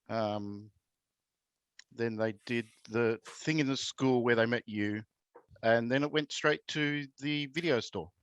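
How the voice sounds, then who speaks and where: A man in a tabletop game session explains events in character and hesitates often. His voice sits at a middling pitch. The sound is dry and slightly muffled. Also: clean tone, no echo. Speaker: tabletop roleplaying player. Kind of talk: casual in-character roleplay.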